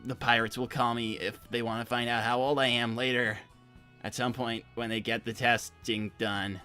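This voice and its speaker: A man's voice: mid-range voice, little pitch movement, normal speed, sharp tone, faint music behind, natural voice. A man playing an awkward, eager teenage hedgehog in an improvised cartoon role-play, stammering, rambling and backtracking. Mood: awkward, nervous.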